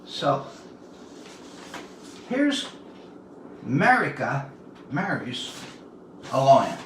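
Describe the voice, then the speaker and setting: A man's voice: mid-range voice, sing-song, drawn-out words, fairly bright tone. A man of about seventy from Newfoundland, a writer talking and telling stories to viewers on an informal livestream. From Newfoundland, Canada. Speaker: writer reading his work to viewers. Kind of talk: informal livestream talk and storytelling. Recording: distant mic, roomy echo, audible noise.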